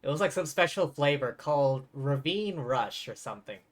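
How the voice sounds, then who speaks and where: A man live-streaming a game, chatting casually and rambling to his viewers, with music softly behind him. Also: medium pitch, animated, normal speed. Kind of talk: casual chat while playing a game. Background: faint music.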